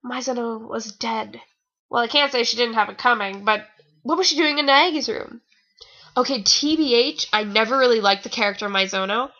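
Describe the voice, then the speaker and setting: A woman's voice: on the high side, moderate pace, pushed and bright, lo-fi sound. A young adult woman giving a mocking amateur fan fiction reading with rambling, exasperated, theatrical asides, sounding a bit tired. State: tired, sore nose.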